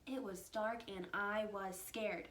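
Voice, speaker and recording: A woman reading a children's storybook aloud like a teacher, animated and dramatic, with hushed asides to her young listeners. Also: medium pitch, slow pace. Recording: roomy echo with faint background noise.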